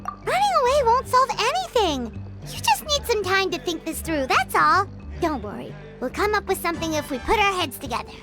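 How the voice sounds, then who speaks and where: A woman voicing a small, excitable, childlike fairy companion in a video game, chatty and earnest, exclaiming and speaking of herself in the third person. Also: extremely high pitch, moderate pace. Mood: encouraging. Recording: music playing behind the voice.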